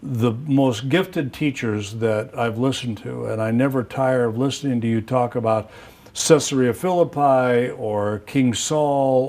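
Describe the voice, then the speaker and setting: A man's voice: medium pitch, a little mellow. A man hosting a conversation. He often affirms his guest with short interjections. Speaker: host. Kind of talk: casual conversation.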